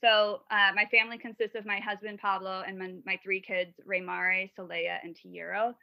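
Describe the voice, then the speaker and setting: A woman's voice: mid-range voice, level tone, moderate pace, creaky, no room sound. A woman from Wisconsin, a podcast guest and mother of three, talking at length in a casual interview, rambling with many fillers and restarts. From Wisconsin, USA. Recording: dull lo-fi sound.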